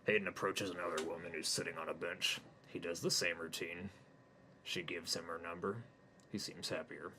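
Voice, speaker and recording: A man reading his own comic story in a deadpan, matter-of-fact way. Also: low voice, moderate pace, slight background noise. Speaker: narrator and author.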